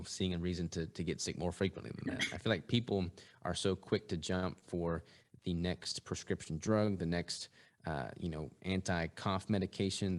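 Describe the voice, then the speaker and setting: A man speaking conversationally as a guest in a podcast interview. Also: low-pitched.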